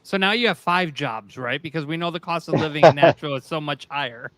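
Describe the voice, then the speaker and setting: A man's voice: fairly high pitch, quick pace, crowd noise. A man hosting a casual talk show interview, loose and joking, swearing now and then.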